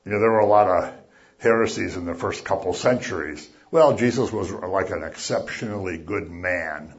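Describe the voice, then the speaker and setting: A man preaching a sermon as a pastor, chatty and animated, acting out little voices as he talks. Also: low-pitched, moderate pace, muffled recording. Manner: conversational, animated, acts out voices.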